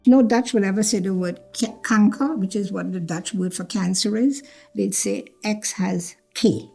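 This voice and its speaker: A woman's voice: medium pitch, normal speed, gravelly, dark tone, husky, soft background music. An older woman born in Trinidad, telling her life story in a reflective way, repeating phrases and acting out what others said. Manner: reflective, repeats phrases, acts out quoted speech. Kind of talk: personal storytelling interview.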